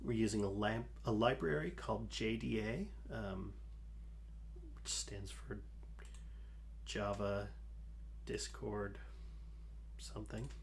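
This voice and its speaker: Slow gravelly man coding on stream. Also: lowish voice, slight background noise. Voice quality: soothing. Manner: slow, calm, talks through code with fillers. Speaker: livestream host. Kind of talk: live coding stream, thinking aloud.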